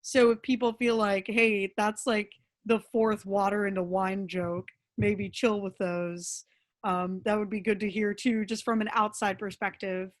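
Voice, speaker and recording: Young woman, medium-pitched, casual and candid. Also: flat delivery, a little slow. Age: young adult. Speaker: playwright. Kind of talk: casual, candid feedback talk.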